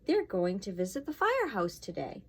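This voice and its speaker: A woman's voice: medium pitch, wildly swooping pitch, normal speed, a little hiss or hum. A woman fire inspector reading a storybook aloud to children, expressively, with casual asides and questions to her young listeners.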